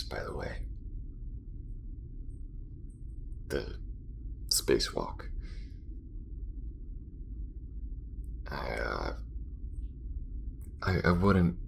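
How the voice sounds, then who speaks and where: A man voicing a gruff, guarded alien crewmate with a very deep, gravelly, halting mutter in an intimate ASMR roleplay. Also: slight background noise, full character voice. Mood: awkward, confiding.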